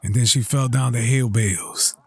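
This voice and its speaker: A man playing an affectionate, teasing farmer father and husband in an audio drama, with a husky voice. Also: mid-range voice, steady tone, normal speed, a little mellow, faint music behind. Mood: brisk, fatherly.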